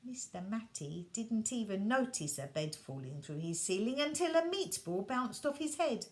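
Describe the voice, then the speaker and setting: A woman reading a children's bedtime story aloud, gentle and expressive, sometimes dropping her voice soft. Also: fairly high pitch, normal speed.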